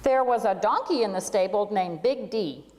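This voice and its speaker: A woman's voice: medium pitch, animated, normal speed, a little mellow. A woman reading a children's storybook aloud to a congregation in a church. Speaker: reader at a church. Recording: echoey hall with long reverb.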